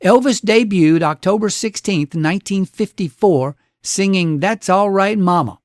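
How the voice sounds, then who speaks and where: Man, radio host, high-pitched voice, dry close sound, folksy dramatic storytelling, scripted narration. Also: unhurried, a little mellow, no echo.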